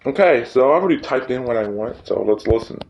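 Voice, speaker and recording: A man hosting a casual tutorial, speaking informally and haltingly, often repeating himself. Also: medium pitch, animated, gravelly, darkish tone, dull audio. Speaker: tutorial host. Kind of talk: casual screen-recording tutorial. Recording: noisy recording with clicks.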